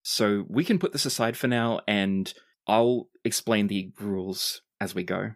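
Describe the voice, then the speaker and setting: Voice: low voice, a little slow, dry recording, clean studio sound. A game master explaining tabletop game rules and narrating scenes to players.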